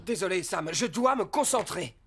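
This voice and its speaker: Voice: mid-range voice, expressive, moderate pace, creaky, fairly bright tone, slight background noise. An actor voicing an earnest, dramatic male pet fox in a French cartoon dub. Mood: earnest, dutiful. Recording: music behind the voice.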